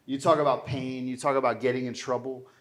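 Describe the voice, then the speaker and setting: A man's voice: medium pitch, level tone, fairly quick. A man interviewing a guest in a casual, informal fireside chat, talking in a loose, rambling conversational way. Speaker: interviewer, host. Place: indoor venue live event. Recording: roomy echo of a live venue.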